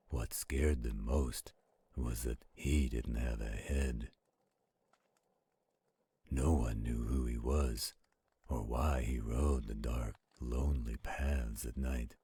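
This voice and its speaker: A man playing a gentle, protective werewolf in a roleplay audio, telling bedtime stories in a slow, intimate, caring way. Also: fairly even intonation, exaggerated voice. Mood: soothing, storytelling. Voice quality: very deep, husky, gravelly growl. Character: gentle protective male werewolf, soothing storyteller to his partner.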